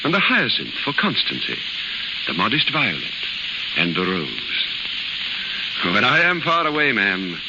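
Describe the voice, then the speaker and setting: A dashing gentleman off to be a soldier, speaks with jovial gallantry and very wide swings of pitch. It is old radio drama acting, heard through clear hiss and crackle on a dull recording. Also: huge pitch swings, a little slow, long pauses, full character voice. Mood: tender. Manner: gallant, theatrical, chuckling. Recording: noisy, band-limited 1930s radio recording.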